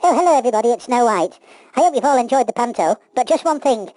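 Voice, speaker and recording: A woman's voice: fairly high pitch, expressive, quick pace, darkish tone, dry recording, rough recording. A woman playing a sweet, gullible young fairytale princess in a children's pantomime. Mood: friendly.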